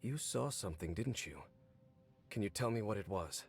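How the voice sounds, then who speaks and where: A man voicing a brooding, knowing wanderer in a video game, speaking in a measured, grave and cool way. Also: on the low side, expressive. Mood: pensive, probing. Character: brooding, knowing male wanderer from a fallen nation. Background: game music.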